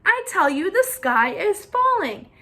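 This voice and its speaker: A woman's voice: very high voice, big pitch swings, a little slow, pushed and bright, voice pushed up, heavily acted. A woman voicing a small, anxious, insistent hen from a children's storybook, fretful and full of exclamations. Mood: urgent, insistent.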